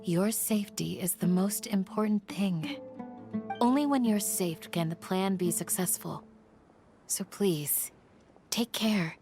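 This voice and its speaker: A woman playing a calm, caring village guardian in a video game, gentle, earnest and composed. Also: medium pitch, slow pace, faint music behind. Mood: caring, solemn. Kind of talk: video game character acting.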